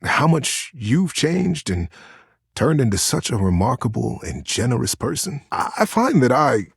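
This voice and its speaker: A man voicing a devoted, sentimental teddy bear in a video game, talking in a gentle, folksy, earnest way with little stammers. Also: medium pitch, very animated, a little slow, creaky, slightly rough, no echo, clean studio sound, heavily acted. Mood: touched, tender. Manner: folksy, earnest, cozy wording with hesitant stammers. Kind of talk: video game character acting.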